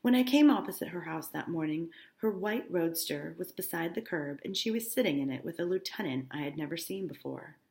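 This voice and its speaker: Lady english teacher reading a book out loud n explaining bits casually, kinda low gravelly fry, echoey room. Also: lively intonation, a little fast, few pauses. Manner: informal, instructive asides with check-ins like "okay?". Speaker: high school English teacher. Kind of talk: reading a novel aloud with explanatory asides.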